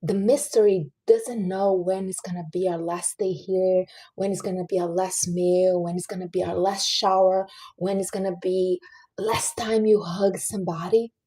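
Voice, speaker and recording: Mellow, husky woman in her late thirties. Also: lowish voice, fairly even intonation, moderate pace. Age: 30s. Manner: chatty and earnest, giving life advice to viewers. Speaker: livestream host. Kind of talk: casual livestream chat.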